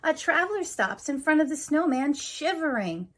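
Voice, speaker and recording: A woman's voice: sits high, sing-song, moderate pace. A woman hosting a children's storytime, talking casually and reading a picture book aloud. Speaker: children's museum staff storytime host.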